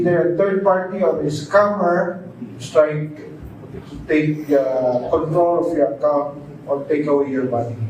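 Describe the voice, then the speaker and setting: Male official, Filipino accent, unhurried code-switching statement, heard off-mic in a heavily reverberant room. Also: on the high side. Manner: mixes Tagalog phrases into English. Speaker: government official. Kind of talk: spontaneous spoken statement. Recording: very echoey room, distant mic, faint noise.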